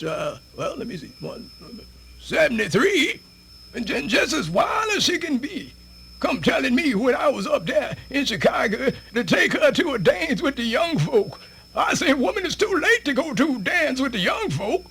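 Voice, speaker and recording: An actor playing a spirited, folksy elderly man with a Southern US accent, rambling and stammering through a radio theatre monologue in a raspy voice. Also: sharp tone, a little hiss or hum, heavily acted. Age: older. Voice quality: raspy, creaky, high-pitched.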